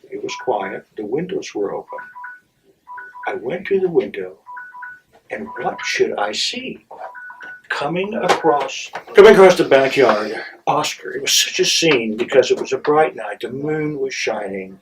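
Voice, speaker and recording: A middle-aged or older man telling a personal story in a theatrical, wry way, with dramatic pauses and halting asides. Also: medium pitch, lively intonation, music in the background. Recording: distant microphone.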